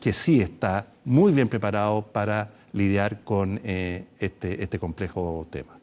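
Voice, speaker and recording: A man, a doctor guest in a studio TV interview, explaining in a measured way with some hesitations. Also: lowish voice, a little mellow. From Chile.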